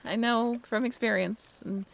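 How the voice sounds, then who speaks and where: A young woman playing a friendly half-mermaid in an intimate audio roleplay, with a creaky voice and a casual, halting, wry and bashful way of talking. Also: a little high, fairly even intonation. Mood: wry, amused. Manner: casual, halting, softly self-deprecating. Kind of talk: intimate audio roleplay, one-sided conversation.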